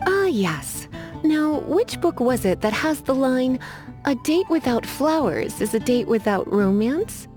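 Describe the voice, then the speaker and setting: A sultry teasing librarian mage. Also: female voice, high-pitched, big pitch swings, unhurried, a little mellow. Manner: languid, teasing, playfully menacing. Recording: game cutscene with faint music behind.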